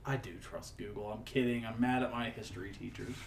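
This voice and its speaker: A man co-hosting a casual podcast, joking and banter-driven, with a southern US accent, mumbling one moment and loud the next. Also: on the low side, fairly even intonation, brisk, creaky, a crowd in the background. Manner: playful, jumps between mumbling and loud exclaiming. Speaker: podcast co-host. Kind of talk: casual chat.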